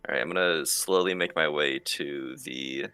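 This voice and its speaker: A man's voice: extremely low pitch, moderate pace, pushed and bright, no room sound, faint music behind. A man playing a tabletop roleplaying game, chatting casually and thinking aloud in a hesitant way.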